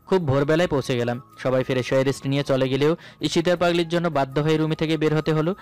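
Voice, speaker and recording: A man's voice: a little high, steady tone, fast talker, darkish tone, smooth. A man narrating a scripted romantic story in the first person. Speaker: narrator of romantic audio stories. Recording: voice over background music.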